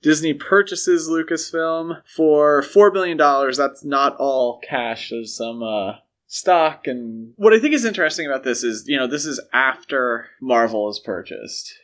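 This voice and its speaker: A man with a medium-pitched voice co-hosts a casual discussion podcast. He explains things step by step in a conversational way, as if talking a topic through with a partner. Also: lively intonation, measured pace, few pauses, fairly bright tone. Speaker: podcast co-host. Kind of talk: casual film franchise discussion.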